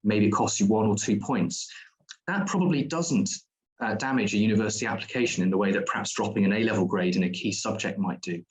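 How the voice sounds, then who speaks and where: A man's voice: lowish voice, quick pace, rough recording. A man presenting a school's diploma courses at an online open day, explaining at length with frequent ums and restarts.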